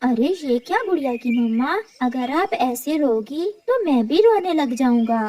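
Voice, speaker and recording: Woman doing a loving father bird, pitched down. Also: animated, unhurried, dark tone, no room sound. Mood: tender. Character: loving, hopeful father bird, hardworking husband. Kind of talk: children's cartoon dubbing.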